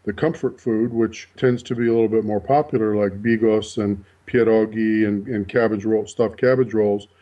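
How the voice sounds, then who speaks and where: A European-born man raised in Canada and the US, speaking low and measured as a cookbook author. He leans on "you know" as he explains. His voice comes across dull and a little distant. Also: steady tone, warm tone. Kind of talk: interview answers.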